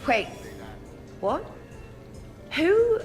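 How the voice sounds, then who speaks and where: A woman's voice: very high-pitched, sing-song, extremely slow, over music, heavily acted. A woman playing a chatty, modest young crew member in video game dialogue.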